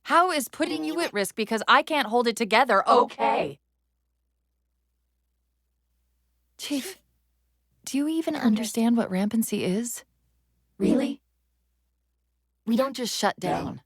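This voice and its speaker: A woman voicing an urgent, clever military AI companion in a video game, wry and earnest, giving crisp tactical briefings with an undercurrent of strain. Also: on the high side, expressive, unhurried, pauses often, a bit bright, no room sound, studio quality, heavily acted. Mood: strained, vulnerable.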